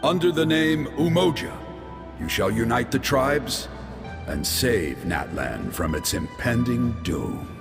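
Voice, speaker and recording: An actor playing a solemn, noble giant warrior of old in a fantasy game, speaking in a grave, oratorical manner. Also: male voice, mid-range voice, sing-song, takes it slowly, gravelly, full character voice. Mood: grand, exhorting. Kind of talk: dramatic fantasy game acting. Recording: long cavernous reverb over music.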